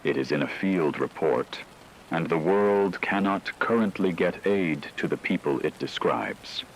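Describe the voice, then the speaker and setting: A low-voiced, grave radio broadcaster acted by a man delivers a formal catastrophe report. The pacing is measured and serious, over a bed of rain-like static. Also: rough recording. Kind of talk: scripted emergency radio report.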